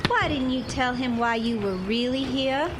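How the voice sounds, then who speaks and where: A feisty young Southern bride played by a woman with a high voice and a proud, teasing streak. She talks in folksy turns of phrase, in radio-drama style over faint background noise. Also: moderate pace, natural voice. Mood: curious.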